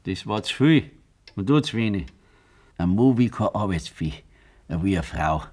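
A man's voice: low voice, lively intonation, measured pace, a little mellow, slightly rough, full character voice. An actor playing a stern, blunt old farmer father with a Bavarian accent in a German radio play. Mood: stern, reproachful. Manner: scolding, blunt, rustic.

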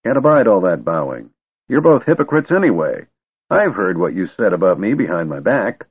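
A man's voice: low voice, big pitch swings, normal speed, slightly rough, dry recording, heavily acted. A man voicing a wise, eccentric old wizard who is secretly a god, testy and stern in turn. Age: older. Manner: cranky yet wistful, scolding. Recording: tinny phone-like dry recording.

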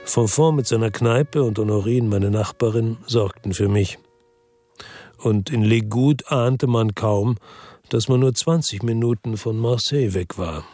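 A world-weary ex-policeman telling his own crime story for a radio play. His voice is low and husky, recorded dry and close. He speaks soberly, in few words. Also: a little slow, mellow, no room sound, soft background music. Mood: weary, reflective. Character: world-weary male ex-policeman from Marseille, narrating his murder case. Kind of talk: first-person radio drama narration and dialogue.

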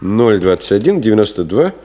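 An actor playing a gentle, wistful middle-aged teacher with a hesitant, teasing, colloquial way of talking in a 1970s Soviet radio play. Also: male voice, on the low side, sing-song, fairly quick. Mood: curious, wistful.

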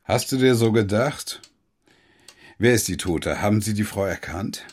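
An actor playing a pompous, frail retired army officer, an older man with a husky, lecturing way of talking in a radio drama. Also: on the low side, measured pace, darkish tone. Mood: stern. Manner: lecturing, philosophizing.

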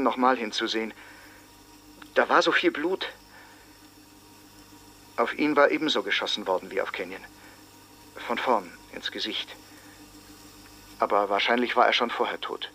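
An actor playing a shaken small-town witness in a radio drama, speaking haltingly in short broken phrases. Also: male voice, mid-range voice, sharp tone.

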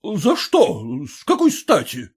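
A man voicing an indignant, blustering gentleman husband in a storybook, curt, imperious and exasperated. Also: high voice, huge pitch swings, a little slow, husky, pitched above the performer's own voice, full character voice.

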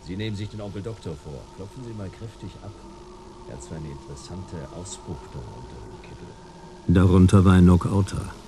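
A man's voice: deep voice, brisk, background noise, natural voice. A man playing a laconic, world-weary private detective in a radio play, with dry, sardonic delivery. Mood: cool, commanding. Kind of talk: radio play acting and first-person noir narration.

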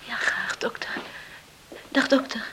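An actress in a Dutch radio play playing an anxious, dutiful farm daughter who speaks politely. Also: female voice, slow pace, pushed and bright, whispered, low-quality recording. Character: anxious dutiful farm daughter tending her sick mother. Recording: old radio recording, faint noise.

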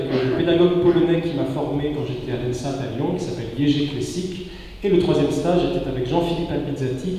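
A middle-aged man, a theatre director, presenting his new production to an assembled audience, pausing to search for words. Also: fairly high pitch, mellow. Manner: hesitant, searching for words with fillers. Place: large hall before an assembly. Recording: echoey hall, picked up from far off.

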